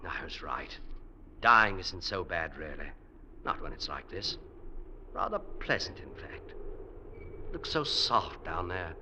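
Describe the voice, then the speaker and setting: An actor playing an exhausted male mountaineer on a glacier in an old radio drama, hushed in thought, then steady and reassuring. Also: fairly low pitch, full character voice. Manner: hushed inner monologue, then hearty and reassuring. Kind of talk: 1950s radio drama acting. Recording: old radio broadcast with faint hiss.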